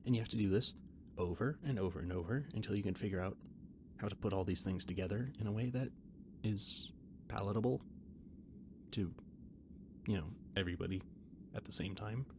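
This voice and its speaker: Casting: male actor as nervous, nerdy catboy ship's cook, low creaky voice, evasive rambler, for intimate roleplay audio. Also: a little fast, pauses often, natural voice. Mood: exasperated rambling. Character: flustered, rambling catboy ship's cook and mechanic, eager friend. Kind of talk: intimate one-sided roleplay audio drama. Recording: noticeable background noise and ambience.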